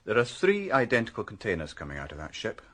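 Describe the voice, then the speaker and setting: A shrewd senior detective in a radio crime drama. His voice is low and husky. He lays things out in a measured, assured way, sure of himself. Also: wildly swooping pitch, fairly bright tone, exaggerated voice. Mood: sly, confident. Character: shrewd, confident male senior detective planning a trap. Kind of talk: naturalistic radio drama dialogue.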